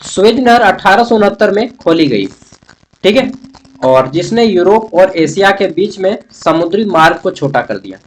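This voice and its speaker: A man with a Jharkhand Hindi accent and a slightly high voice gives an informal lesson. He talks straight to his students in everyday colloquial speech. He often poses a question and then answers it himself. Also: expressive, moderate pace, slight background noise, rough recording. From Jharkhand, India. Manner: colloquial, chatty, addresses students directly. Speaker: history teacher for college students. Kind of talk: informal exam-prep lesson.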